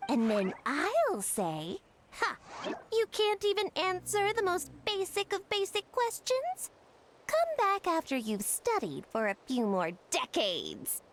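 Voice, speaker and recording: A smug little scheming fungus for a video game. The voice is extremely high and swoops wildly, drawn out with theatrical self-importance. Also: female voice, very animated, takes it slowly, slight background noise, heavily acted. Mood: grandly mocking.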